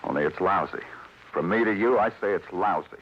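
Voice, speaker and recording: An actor playing a world-weary, hard-boiled New York police detective in a 1950s radio crime drama, speaking in a clipped, terse, commanding way. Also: male voice, low voice, fairly quick, a little hiss or hum, natural voice. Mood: dry, skeptical. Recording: muffled vintage radio recording, loud music.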